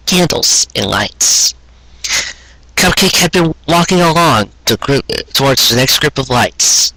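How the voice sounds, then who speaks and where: Man narrating a story, bright husky voice. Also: medium pitch, no echo, low-quality recording. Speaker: audiobook reader of fan fiction.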